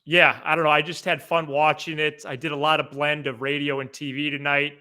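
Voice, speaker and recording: Male sports podcast co-host, very bright forward tone, slightly high register, casual and halting delivery. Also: little pitch movement. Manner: halting, repeats words as he talks.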